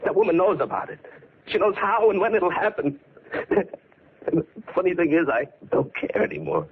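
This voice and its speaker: A man's voice: high voice, animated, normal speed, slight background noise. An actor playing a haunted, desperate man telling of his descent into madness, in intense 1940s radio mystery style. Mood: frantic, desperate. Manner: dramatic, brooding, intense. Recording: tinny vintage radio recording with music behind.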